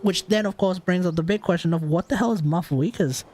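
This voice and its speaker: A man's voice: high voice, fairly quick, a little mellow. A man chatting casually as a game streamer, rambling and searching for words, with some casual swearing. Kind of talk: casual livestream chat.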